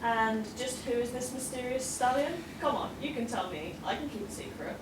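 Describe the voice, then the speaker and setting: Radio play pony newcomer, acted by a woman: youthful, inquisitive, probing, higher-pitched, noisy convention room sound. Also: animated, moderate pace, reverberant, far from the mic, lightly acted. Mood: teasing. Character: earnest, inquisitive young female pony newcomer.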